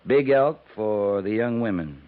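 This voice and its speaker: An actor playing a blunt, weary US cavalry captain with a curt, sardonic delivery in a 1950s radio western. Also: male voice, lowish voice, animated, unhurried. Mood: firm, grim. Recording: muffled narrow-band old 1950s radio recording.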